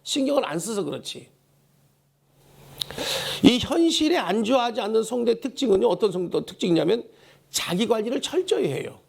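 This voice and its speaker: Male pastor, high voice, hoarse and gravelly, emphatic sermon delivery with repeated key phrases. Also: sing-song, normal speed, pauses often, darkish tone. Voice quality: hoarse, worn voice. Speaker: pastor preaching a Sunday sermon. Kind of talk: Korean church sermon with call-and-response prompts. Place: church service.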